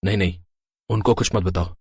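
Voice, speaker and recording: An actor playing a placating, secretive young husband in a horror storybook, speaking in a coaxing, explanatory way. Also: male voice, low voice, steady tone, brisk, a little mellow, slightly rough, no echo. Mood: persuasive. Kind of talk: acted horror storybook dialogue in Hindi.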